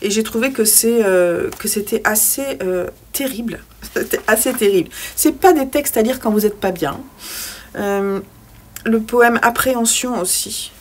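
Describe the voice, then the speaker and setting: A woman's voice: fairly high pitch, a little slow. A young woman talking through a poetry book she read, hesitating often with fillers and restarts, and now and then reading passages aloud. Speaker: book vlogger. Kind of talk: casual book review with read-aloud poems.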